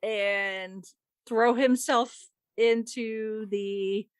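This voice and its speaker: A woman's voice: on the high side, fairly even intonation, very slow, clean tone, no room sound, clean studio sound. A woman co-hosting a podcast, chatting casually and animatedly about a film. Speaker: podcast co-host. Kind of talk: casual film discussion chat.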